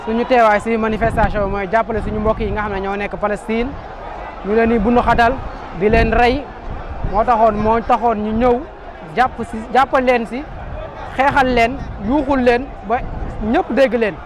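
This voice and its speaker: Impassioned high-voiced man at a rally. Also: steady tone, moderate pace. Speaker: rally participant. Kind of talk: street interview. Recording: crowd and street noise, outdoor rally.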